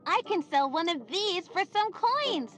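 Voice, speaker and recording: A woman's voice: very high-pitched, big pitch swings, measured pace, exaggerated voice. A woman playing a cheery, bossy young girl hosting a children's show in a game, with singsong delivery that can turn sarcastic. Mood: bright, excited. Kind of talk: scripted children's cartoon game acting. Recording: music behind the voice.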